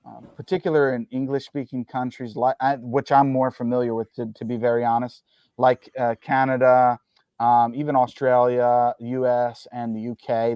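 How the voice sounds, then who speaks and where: A man's voice: mid-range voice, a little mellow, no echo. A man from New Jersey talking as an interview guest, explaining his views carefully with many ums and uhs and careful qualifications.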